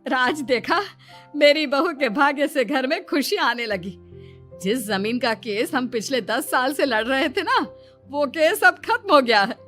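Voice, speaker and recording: A woman puts on a doting middle-aged mother-in-law in a cartoon story. The voice is high, sharp and emphatic, with proud exclamations. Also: animated, measured pace, soft background music. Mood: delighted.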